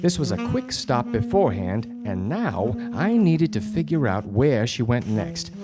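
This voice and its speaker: A man playing a wry, deadpan 1940s detective in a radio play, narrating his case and trading wisecracks. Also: mid-range voice, unhurried. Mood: brooding, narrating. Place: stage before a studio audience. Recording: live radio play with music behind.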